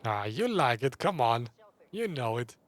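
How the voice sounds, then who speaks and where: Lively-pitched man commenting on a game. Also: a little slow. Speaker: gaming streamer. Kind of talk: casual playthrough commentary.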